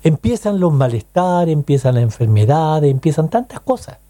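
Dark-voiced Chilean man hosting radio. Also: a little high, big pitch swings, normal speed, no room sound. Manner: chatty, rhetorical questions, frequent "ya?" tags. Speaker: radio host. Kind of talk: casual radio talk to listeners.

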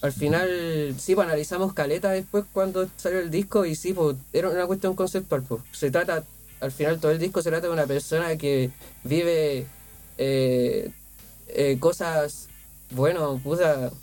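Chilean musician, 30s, high voice, rambling slangy talk, faint music underneath. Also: male voice, fairly even intonation, normal speed, a little mellow. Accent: Chilean Spanish. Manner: rambling, filler-heavy, slangy. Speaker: band member. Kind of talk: casual interview chat.

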